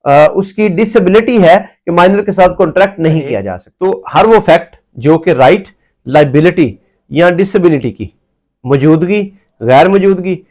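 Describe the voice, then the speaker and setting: A man's voice: sits high, lively intonation, normal speed, darkish tone. A man with a Pakistani accent giving an explanatory law lecture, methodically rephrasing points and mixing in English legal terms.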